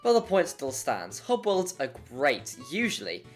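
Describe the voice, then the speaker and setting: A man's voice: on the high side, huge pitch swings, a little slow. A man reads a scripted game review as a video essay. His delivery is jokey and wry, leaning into exaggeration. Music plays behind his voice.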